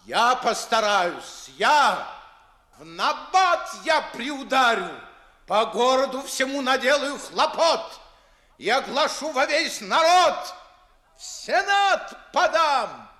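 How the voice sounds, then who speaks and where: A man's voice: extremely high pitch, very animated, slow, sharp tone, exaggerated voice. An actor playing a pompous, indignant older father in a 1950s audio play, scolding theatrically in comic verse. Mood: indignant threats.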